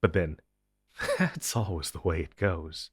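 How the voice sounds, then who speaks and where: A man playing an inhuman monster interrogator in an intense audio roleplay, taunting and condescending, with deliberate theatrical pauses. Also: sits low, sing-song, husky, no room sound, clean studio sound, full character voice. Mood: sardonic, confident. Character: menacing male monster interrogator, inhuman, smug and possessive.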